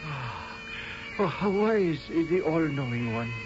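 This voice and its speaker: An actor playing an obsequious manservant in a radio drama, hesitant and flowery. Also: male voice, a little high, expressive, slow pace, over music. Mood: fawning, hesitant. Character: obsequious Indian manservant, flowery, timid, slyly superior. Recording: dull vintage radio drama recording.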